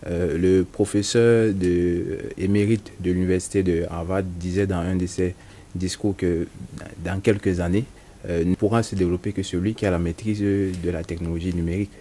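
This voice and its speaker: A man from Cameroon whose first language is Bassa, a guest expert on digital technology who speaks in a measured, hesitant way, with fillers. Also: fairly even intonation, slight background noise. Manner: measured, hesitant, with frequent fillers and soft laughs. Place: TV studio.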